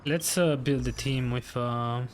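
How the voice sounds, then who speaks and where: A man's voice: mid-range voice, fairly even intonation, measured pace, slight background noise. A man giving casual, off-the-cuff commentary as he streams a video game.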